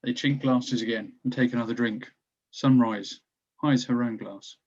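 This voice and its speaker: A man's voice: mid-range voice, fairly even intonation, normal speed, darkish tone, lo-fi sound. A man reading stage directions as scripted narration for a screenplay table read.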